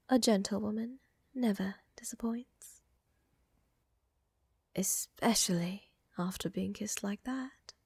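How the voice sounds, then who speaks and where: A woman acting an elegant, flirtatious and tender vampire countess in a roleplay ASMR, with soft, breathy, genteel delivery that often drops to a whisper. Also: mid-range voice, no echo, clean studio sound, heavily acted. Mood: flirtatious, affectionate.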